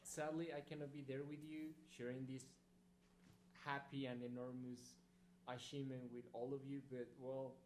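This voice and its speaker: A man's voice: medium pitch, level tone, normal speed, a little mellow. A male graduate giving a recorded congratulatory message, earnest and searching for words. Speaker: graduate sending a video message. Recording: echoey recording with faint hum, played in a hall.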